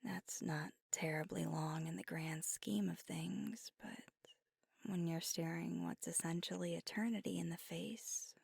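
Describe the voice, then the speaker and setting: A woman voicing a gravelly-whispered young vampire. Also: lowish voice, steady tone, measured pace, no echo, studio quality, full character voice. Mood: wistful. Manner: soft whispering, intimate. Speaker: ASMR roleplay performer. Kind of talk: ASMR roleplay. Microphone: close mic.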